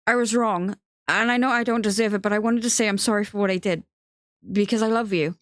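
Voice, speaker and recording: A woman voicing a frightened, remorseful young man in love, pleading in a wavering, halting way in an audiobook. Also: on the low side, a little mellow, no room sound, studio quality, close to an everyday voice. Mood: earnest, remorseful.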